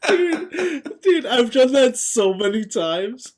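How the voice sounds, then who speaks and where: A man's voice: very high-pitched, big pitch swings, takes it slowly. A man of thirty talking in casual, slangy conversation as a podcast co-host.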